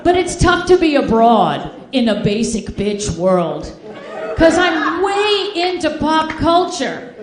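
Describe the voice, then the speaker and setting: A woman's voice: sits high, very animated, takes it slowly, a faint crowd. An American woman from Boston doing stand-up comedy, talking in an old-fashioned 1940s manner. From Boston, United States. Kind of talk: stand-up comedy to a live audience. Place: indoor live comedy show, audience behind. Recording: echoey live room with audience.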